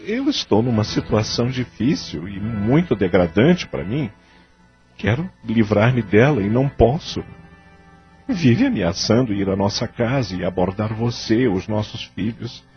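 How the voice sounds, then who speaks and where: An actor playing a remorseful, evasive husband in a melodramatic audio drama, pleading in formal phrases with a Brazilian accent. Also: male voice, fairly low pitch, animated, a little slow. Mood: ashamed, remorseful. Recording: dull lo-fi radio-like audio with music.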